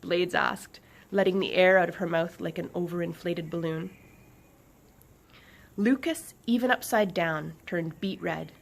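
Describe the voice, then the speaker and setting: A woman reading a children's book aloud in an expressive, dramatic narrating style, stretching some words and hushing others. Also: fairly low pitch, measured pace, vocal fry. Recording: faint background noise.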